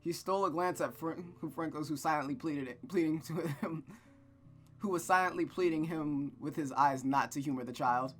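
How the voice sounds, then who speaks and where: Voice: medium pitch, moderate pace, a bit bright, soft background music. A streamer reading a visual novel aloud with casual, enthusiastic commentary, stumbling now and then over the text. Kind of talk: casual gameplay commentary and read-aloud narration.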